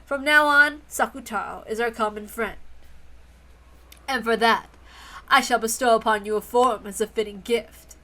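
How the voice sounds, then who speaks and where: Woman playing a bright, grand theatrical witch. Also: on the high side, normal speed, low-quality recording. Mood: solemn, proclaiming. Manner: ceremonious, declamatory. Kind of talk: dramatic visual novel read-aloud.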